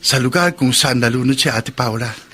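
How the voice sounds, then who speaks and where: A man's voice: medium pitch, normal speed, fairly bright tone, breathy edge. A man playing a sincere, wistful young man in a Filipino radio drama. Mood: shy, hopeful.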